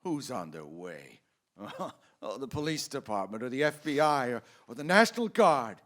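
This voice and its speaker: A man's voice: mid-range voice, sing-song, normal speed, a bit bright. An actor playing an overjoyed small-town husband and father in a radio drama, stammering and exclaiming. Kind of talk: old-time radio drama acting.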